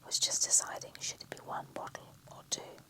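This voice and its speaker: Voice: fairly quick, bright, forceful tone, close to an everyday voice. A reader whispering the lines of a friendly, charming man who is polite and a little awkward.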